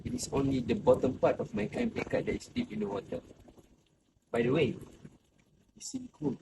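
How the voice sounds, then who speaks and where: A man acting an anxious, hesitant old man in a student stage play, speaking in halting, deliberate phrases. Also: sits high, big pitch swings, gravelly, heavily acted. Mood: concerned. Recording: noisy amateur recording with ambient sound.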